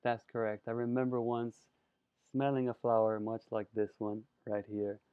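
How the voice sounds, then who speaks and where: A man from Colombia giving a presentation lecture, expressive and savoring his words with drawn-out emphasis. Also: on the low side, smooth, no echo. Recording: dry, phone-like narrow sound.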